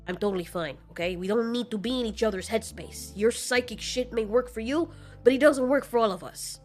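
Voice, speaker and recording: Woman acting as male kaiju, gruff manner, frustrated, curt, defensive, monster audio story. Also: a little high, a little fast, darkish tone, faint music behind, close to an everyday voice. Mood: annoyed. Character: Rodan, brash frustrated young male winged kaiju.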